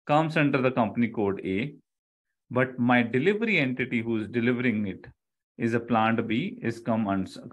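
A middle-aged man with an Indian accent gives a live online lecture. His voice sits at an average pitch. He explains as an instructor does, repeating key phrases and often checking that listeners follow.

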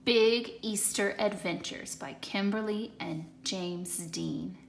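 A woman reading a picture book aloud to children in an expressive, deliberate way, recorded in an echoey room. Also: mid-range voice, creaky, slight background noise. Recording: echoey hard-floored room.

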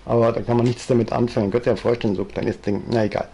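A man in his fifties with a Bavarian accent, telling his story in a rambling, chatty way in a living room, often trailing off with fillers, with a low-quality home recording. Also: little pitch movement, rapid-fire, mellow, breathy edge. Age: 50s. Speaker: vlogger. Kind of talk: casual storytelling monologue, reading aloud. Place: living room, seated in armchair.

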